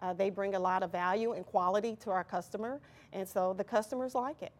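A woman's voice: mid-range voice, fairly even intonation, fast talker. A woman answering as an interview guest in a conversational way, often searching for her words. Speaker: interview guest from an employment nonprofit.

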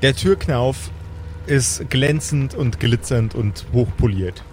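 A man's voice: medium pitch, slow, darkish tone, a little hiss or hum. A man, narrating an improvised tabletop game as game master.

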